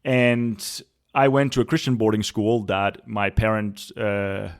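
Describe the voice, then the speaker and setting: A man with a Danish accent and a fairly low voice tells his own story as a church planter. He is earnest and reflective, often restarting his sentences as he recalls the past. Danish is his first language. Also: little pitch movement, slow pace, clean tone. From Denmark. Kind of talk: personal testimony in an interview. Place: a home.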